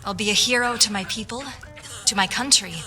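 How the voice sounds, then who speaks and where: A woman playing an earnest, proud noble swordswoman and patriot in a video game, speaking in a measured, formal, idealistic way. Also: mid-range voice, steady tone, bright, forceful tone, natural voice. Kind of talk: video game roleplay dialogue. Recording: music behind the voice.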